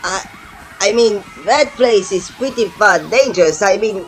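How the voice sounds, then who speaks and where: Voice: mid-range voice, measured pace, faint music behind, natural voice. An actor playing an anxious, foul-mouthed police officer who stammers and repeats words in an amateur audio drama. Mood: alarmed, pleading.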